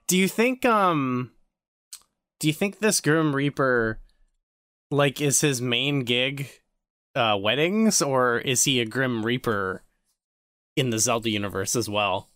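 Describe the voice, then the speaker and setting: Man, mid-pitched, dry studio-free-of-echo sound, casual joking co-host chat. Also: takes it slowly, pauses often, fairly bright tone, clear, resonant tone. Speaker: podcast co-host.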